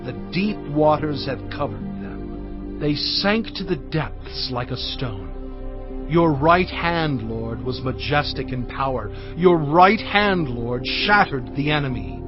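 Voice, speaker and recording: An actor playing Moses, reciting a song of praise in a slow, exalted, declaiming way. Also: male voice, a little high, animated. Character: Moses, devout male leader singing praise. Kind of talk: dramatized scripture recitation. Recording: muffled, with music underneath.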